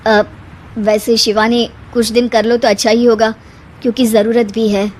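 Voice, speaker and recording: A woman's voice: on the high side, flat delivery, normal speed, slight background noise, lightly acted. A woman voicing a worried, conscientious young husband in a family story. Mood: hesitant.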